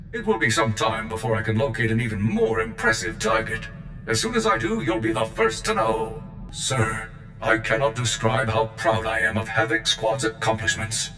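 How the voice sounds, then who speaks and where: An actor playing a loyal, zealous military droid in a video game, speaking formally, precisely and earnestly. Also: male voice, lowish voice, moderate pace, close to an everyday voice. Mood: proud, devoted. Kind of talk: scripted video game acting. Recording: game cutscene with echo and music.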